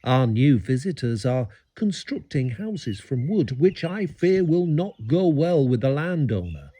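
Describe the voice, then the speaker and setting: A man's voice: mid-range voice, very animated, a little slow, warm tone, slightly rough. An actor playing a fretful eighteenth-century English village parson, reading out his letters in formal, old-fashioned phrasing for an audio drama. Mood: fretful, earnest.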